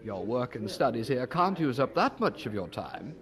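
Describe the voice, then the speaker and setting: An actor playing a snobbish, thrill-seeking young Oxford gentleman in a radio drama, haughty and jaunty. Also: mid-range voice, very animated, normal speed. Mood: sly, teasing.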